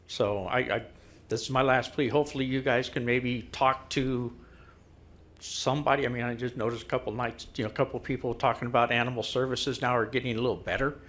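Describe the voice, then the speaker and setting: A man of middling pitch makes his last plea to a council. He sounds frustrated and pleading. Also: steady tone, brisk, fairly bright tone. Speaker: public commenter. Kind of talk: public comment.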